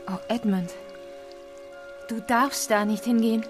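Actress as fretful genteel Victorian wife, disdainful, rather high voice, radio play, light music behind. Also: female voice, steady tone, unhurried, close to an everyday voice. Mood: worried.

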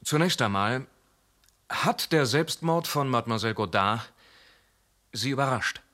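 A composed police inspector who asks pointed questions with dry politeness. His intonation moves expressively. He stays measured and unhurried. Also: mid-range voice. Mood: probing. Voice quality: calm, dryly probing. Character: calm, probing male police inspector. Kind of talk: radio play acting.

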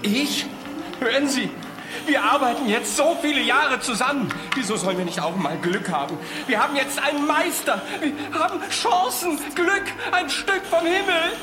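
A man's voice: very high voice, normal speed. An actor playing a driven, scheming male swimming coach in a German radio drama. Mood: pleading, excited. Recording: roomy echo, music behind.

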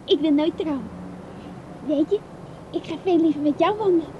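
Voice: high-pitched, moderate pace, muffled recording, a little hiss or hum, lightly acted. A performer playing a young child in a radio play, frank and affectionate with an older relative. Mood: earnest, probing. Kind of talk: Dutch radio play acting.

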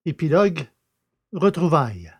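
A male narrator reads a script for an audio drama. His voice sits a little high for a man. He announces credits and sets scenes. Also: big pitch swings, unhurried, breathy edge.

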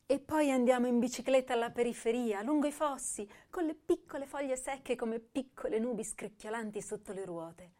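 A woman's voice: fairly high pitch, normal speed, hardly any pauses, darkish tone, lightly acted. An actress playing an intense, hypersensitive young woman poet, reciting verse lyrically in short phrases for a radio drama. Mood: wistful. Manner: lyrical, broken into short breath-long phrases. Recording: violin music behind the voice.

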